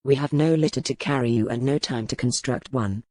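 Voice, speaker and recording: A woman voicing a stern, formal medieval duke and warrior in a historical romance audiobook, with terse, measured courtly phrasing. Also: very deep voice, mellow, no echo, clean studio sound, voice pushed down.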